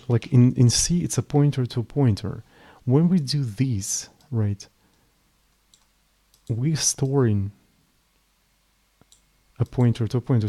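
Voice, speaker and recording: A young man with a Slavic accent, live-streaming his programming and thinking aloud in a tired, halting way. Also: mid-range voice, mellow. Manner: halting, thinking aloud, trailing off mid-sentence. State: mentally tired. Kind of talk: casual live coding stream.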